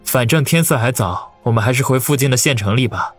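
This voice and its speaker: A man doing an earnest husky young man. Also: mid-range voice, fairly quick, faint music behind, voice pushed up, lightly acted.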